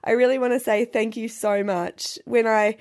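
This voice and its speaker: A middle-aged woman with an Australian accent talks straight to her listeners in a relaxed podcast monologue. Her voice is husky and croaky after an illness, with a slightly nasal edge. She chats in a warm, self-deprecating way and laughs easily. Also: fairly high pitch, moderate pace. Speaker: podcast host.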